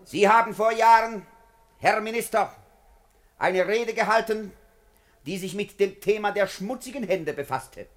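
An actor playing a scornful, sardonic politician declaiming in parliament in a 1950s German-language radio play, rhetorical and mocking. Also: male voice, sits high, unhurried, slight background noise. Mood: sardonic, lecturing. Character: scornful, sardonic parliamentary orator attacking a minister.

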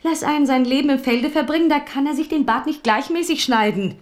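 An actress in a German radio drama playing an eager, ambitious confidante who urges and scolds. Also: female voice, sits high, brisk, natural voice. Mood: scolding, excited. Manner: urgent, scolding, excitable. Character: eager, ambitious female confidante scheming for wealth and power.

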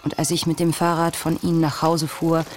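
Actress for young female diarist in a radio play: deep-toned, articulate, troubled and searching, reading intimate confessions. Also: flat delivery, fairly quick, faint music behind, lightly acted. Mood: anguished confiding. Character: anguished, self-searching young woman diarist, intelligent and passionate. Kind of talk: literary radio play monologue, reading diary and letters.

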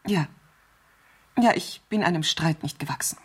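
An actress playing a nervous, demanding wealthy invalid wife, imperious and self-pitying, in a 1970s radio crime drama. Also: female voice, low voice, animated, normal speed, breathy edge, full character voice. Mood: suspicious, imperious.

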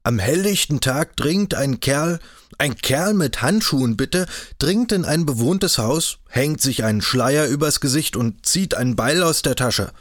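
Man voicing a sneering old cavalry officer: loud, pitched up, jovial mockery in an audiobook. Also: lively intonation, a little slow, dry recording, clean studio sound. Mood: mocking. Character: mocking chatty older cavalry captain.

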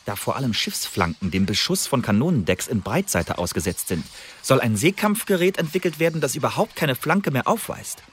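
An actor playing an intelligence agent delivering a formal report in a historical radio play, measured and matter-of-fact. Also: male voice, mid-range voice, big pitch swings, slight background noise, close to an everyday voice.

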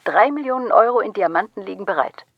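A woman playing a determined, wealthy client of a detective in a radio drama, with a husky, breathy voice. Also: medium pitch, animated, fast talker, muffled recording.

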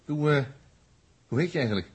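Husky, wry, animated space traveller. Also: male voice, on the high side, fairly quick. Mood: wry, teasing. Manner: sardonic, teasing, casual. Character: wry, defiant male space traveller from Earth, a prisoner. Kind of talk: 1960s Dutch radio drama acting. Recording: old 1960s radio recording.

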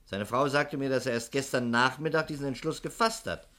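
A male actor plays a shrewd investigator in a sixties radio thriller. He has a medium-pitched voice and stays composed. His questioning is methodical, courteous and insistent. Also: a little fast, pushed and bright, close to an everyday voice. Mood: pressing, stern. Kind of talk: 1960s German radio crime drama acting.

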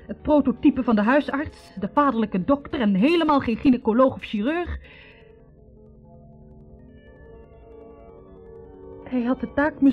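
An actress playing a wounded young woman in a 1960s radio drama, bitterly and haltingly confessing a painful past. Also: high-pitched. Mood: agitated, bitter. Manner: hesitant, halting, introspective. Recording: dull vintage radio recording.

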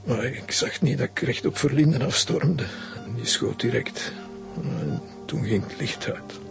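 Luckless petty thief, Flemish accent, fry-laden gravelly voice, defensive, halting, radio drama. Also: male voice, mid-range voice, lively intonation, music in the background. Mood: weary, confessing. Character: defensive, down-on-his-luck male petty copper thief. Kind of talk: naturalistic radio crime drama acting.

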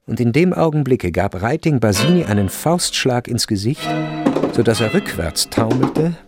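A man's voice: mid-range voice, big pitch swings, normal speed, loud music behind the voice. A man reading scripted literary narration in a radio drama.

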